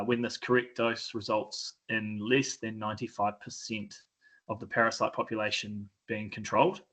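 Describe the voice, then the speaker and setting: A man with a New Zealand accent giving an informal presentation, often pausing mid-phrase in a hesitant way. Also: mid-range voice, sharp tone. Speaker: sheep and beef farmer, presenter.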